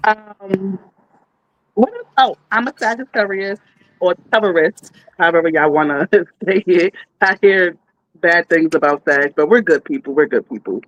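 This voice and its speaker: A woman's voice: lowish voice, a little slow, no echo, poor audio. New York woman with a dry sound. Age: 30s. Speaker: contestant.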